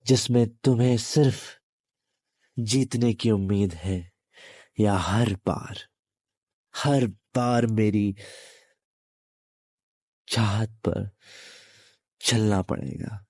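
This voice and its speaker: A man playing a menacing, possessive demon in an intimate audio roleplay, taunting the listener in a halting delivery with long pauses. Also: lowish voice, a little mellow, dry recording, clean studio sound. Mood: calm menace. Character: menacing, possessive male demon, captive turning predator.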